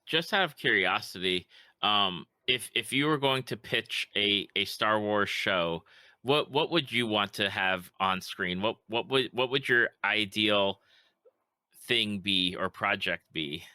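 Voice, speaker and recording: A man's voice: on the low side, measured pace, pushed and bright, dry recording. A man hosting a podcast, asking questions casually with frequent restarts. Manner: conversational, stumbling restarts. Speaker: podcast host. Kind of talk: casual interview chat.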